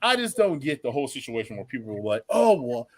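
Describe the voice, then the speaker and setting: A man's voice: medium pitch, big pitch swings, fast talker, no room sound. An American man hosting a football fan show with casual, slangy banter, repeating phrases for emphasis. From Philadelphia and South Jersey, US.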